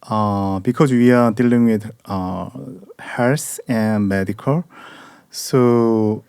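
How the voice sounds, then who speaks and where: A man from South Korea hosting a podcast interview in English with a Korean accent, speaking in careful, halting phrases full of pauses. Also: on the low side, darkish tone, clear, resonant tone, no echo, clean studio sound. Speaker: podcast host. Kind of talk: casual interview chat.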